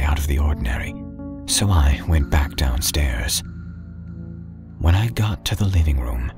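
A man narrating a first-person horror story as an audiobook reader, often dropping into a hushed whisper. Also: a bass voice. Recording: faint background music.

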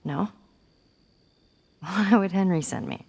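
An actress playing a wry, tough teenage girl survivor in a video game cutscene, teasing with quick comebacks. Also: lowish voice, vocal fry, darkish tone, husky, exaggerated voice.

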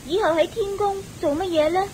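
An actress playing an eager, respectful young celestial maiden in a Hong Kong Cantonese radio drama, earnest and inquisitive. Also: female voice, fairly quick, dark tone. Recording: hissy, rumbly old radio recording.

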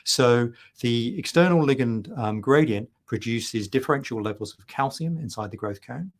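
A man's voice: mid-range voice, expressive, moderate pace. A middle-aged man giving a keynote lecture over slides on a video call, full of ums and restarts. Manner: hesitant, many ums and restarts. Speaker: keynote speaker. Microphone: webcam on video call.

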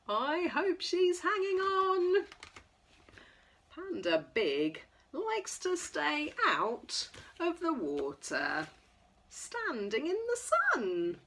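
A middle-aged woman leading a children's storytime, reading a picture book aloud in an expressive, sing-song way for small children. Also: high voice. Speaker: toddler class leader. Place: indoors in front of a backdrop.

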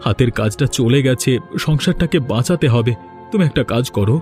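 A humble village husband played by a man in a cartoon, mid-pitched and earnest. He sounds like a questioning worrier, and music plays behind him. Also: lively intonation, a little fast, darkish tone, natural voice. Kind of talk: Bengali cartoon dubbing. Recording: music under the voice.

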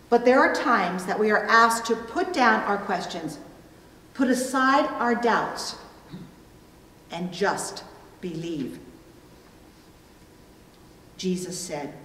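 A middle-aged woman pastor delivering a sermon in a measured, deliberate way, recorded in a church room. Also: medium pitch, a bit bright. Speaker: pastor preaching. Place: indoor church room at a pulpit. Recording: echoey hall, long reverb, distant mic.

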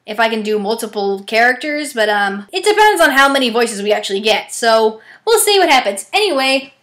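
A woman's voice: fairly high pitch, very animated, fairly quick, a bit bright. A young adult woman chatting casually in a rambling, self-deprecating way about auditioning as an amateur voice actor. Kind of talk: casual chatty vlog narration. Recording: echoey room.

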